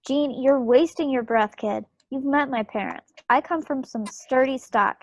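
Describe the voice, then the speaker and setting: A woman's voice: high-pitched, unhurried, no room sound. Woman playing a plucky 1940s war wife, muffled call. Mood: defiant. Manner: spirited, folksy, determined. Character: plucky stubborn young war wife, railroad worker, 1940s. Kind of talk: play table reading. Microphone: video call. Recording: muffled video-call audio.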